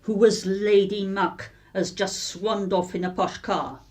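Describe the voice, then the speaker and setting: A woman's voice: medium pitch, level tone, unhurried, a little mellow, lightly acted. An actress playing a nosy, gossipy woman neighbour in a radio drama, with a snide, prying manner.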